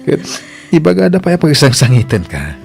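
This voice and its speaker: A man playing a caring, attentive husband in a radio drama, asking questions in a gentle manner. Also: on the high side, huge pitch swings, fairly quick, gravelly, faint music behind, full character voice. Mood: concerned. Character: caring, attentive husband, calmly checking on his wife. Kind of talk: radio drama acting in Ilocano.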